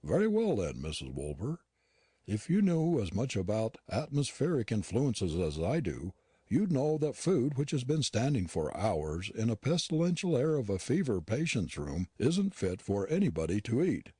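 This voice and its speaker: A man voicing a curt, disdainful gentleman dentist who talks down to his housekeeper. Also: on the low side, huge pitch swings, moderate pace, breathy edge, no echo, clean studio sound, heavily acted. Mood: curt scornful. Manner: curt and scornful.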